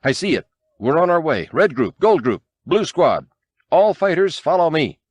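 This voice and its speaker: A man's voice: fairly high pitch, very animated, normal speed, no room sound, pitched above the performer's own voice. A man playing a bold, confident rebel general leading fighter pilots in an audiobook. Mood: eager, commanding.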